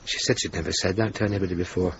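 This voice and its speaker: A man's voice: low-pitched, fairly even intonation, rapid-fire. A man playing an earnest, hesitant young RAF officer in a radio drama, speaking in a sincere, understated way. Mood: tender, reflective. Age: young adult. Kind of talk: naturalistic radio drama dialogue. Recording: dull, hissy 1980s radio broadcast.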